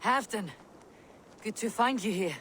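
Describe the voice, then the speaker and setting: Lady doing a stern viking warrior, flat steady threats, noisy game ambience. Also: medium pitch, measured pace, close to an everyday voice. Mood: calm. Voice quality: steady, blunt and menacing. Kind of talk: video game cutscene acting. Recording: game audio over loud ambience.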